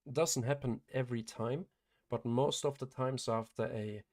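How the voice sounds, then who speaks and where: Hoarse, gravelly man calling trades live. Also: mid-range voice, steady tone, normal speed, a little mellow. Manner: matter-of-fact, clears his throat often. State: hoarse, losing his voice. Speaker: day trader hosting a livestream. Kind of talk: live trading commentary.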